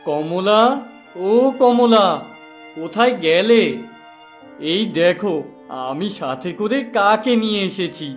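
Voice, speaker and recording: A man playing a kind, soft-hearted poor father in a cartoon fairy tale, speaking in a plaintive, emotive way. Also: sits high, animated, measured pace. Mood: pleading, gentle. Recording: faint background music.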